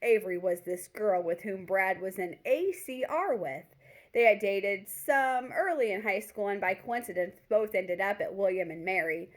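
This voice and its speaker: A woman reading a first-person story aloud in an expressive, conversational way, occasionally stumbling and rereading words. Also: medium pitch.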